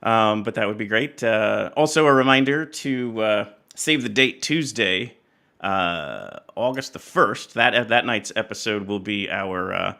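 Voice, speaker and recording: A man's voice: on the low side, expressive, measured pace, gravelly, sharp tone. A man hosting a casual chat podcast, talking in a rambling, hesitant way and often interrupting himself. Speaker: podcast host.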